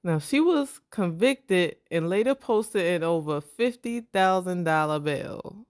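Chatty woman, low and dry. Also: expressive, a little slow, no room sound. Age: 30s. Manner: loose and chatty, addresses listeners as "y'all". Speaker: podcast co-host. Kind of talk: casual true-crime podcast chat.

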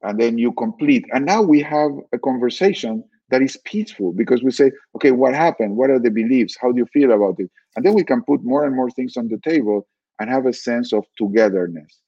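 A man from Argentina, a guest author giving calm, measured explanations in an interview. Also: medium pitch, breathy edge, distant mic, lo-fi sound. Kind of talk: reflective interview answers.

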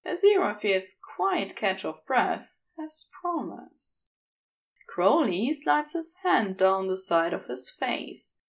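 A woman narrating a piece of fiction as an audiobook reader, with measured, intimate storytelling. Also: mid-range voice, creaky. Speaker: podfic reader.

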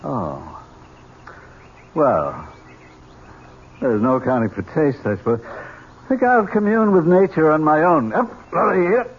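An actor playing a genial, teasing uncle who is hesitant and wry, in a 1970s radio drama. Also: male voice, on the high side, expressive, unhurried, pauses often. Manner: stammering, wry, gentlemanly. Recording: dull, noisy old radio recording.

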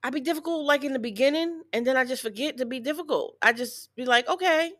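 A soft-spoken, easygoing woman co-hosting a casual chat podcast, laughing at herself. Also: high-pitched, fast. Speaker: podcast co-host.

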